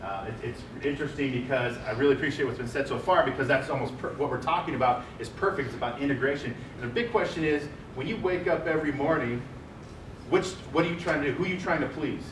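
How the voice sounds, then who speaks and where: A man with a loud voice giving a chatty panel talk and putting questions to the audience. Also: mid-range voice, fast talker. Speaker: panel speaker. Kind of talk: conference presentation. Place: large office meeting room. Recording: echoey room, distant mic, noisy.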